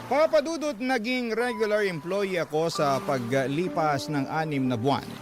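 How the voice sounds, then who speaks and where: Higher-pitched man narrating a love story. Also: moderate pace. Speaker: radio storyteller. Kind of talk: scripted narration of a first-person love letter. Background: music.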